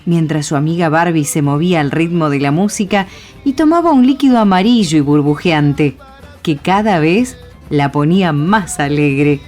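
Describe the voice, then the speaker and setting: A woman's voice: sits low, expressive, moderate pace, darkish tone, soft background music. A woman giving scripted storybook narration.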